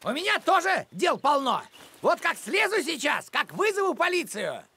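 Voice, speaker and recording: A man voicing a suspicious, cranky middle-aged village postman in a cartoon, accusing and blustering in a creaky voice. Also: very high voice, very animated, normal speed, sharp tone, full character voice. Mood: indignant. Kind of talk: animated cartoon dialogue. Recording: music behind the voice.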